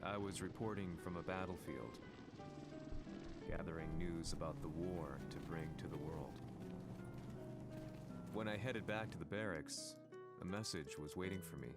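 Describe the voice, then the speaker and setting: Deep, somber war reporter. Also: male voice, loud music behind the voice. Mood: brooding. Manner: grave, measured, brooding. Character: somber male war reporter, haunted by loss. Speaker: narrator. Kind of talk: scripted cinematic game narration. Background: musical score.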